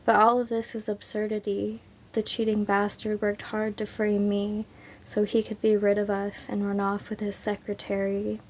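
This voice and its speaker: A woman with a sick, raspy voice, hosting her poetry channel and reading her own poems in a slow, unhurried way with frequent ums. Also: mid-range voice, monotone, darkish tone, a little hiss or hum.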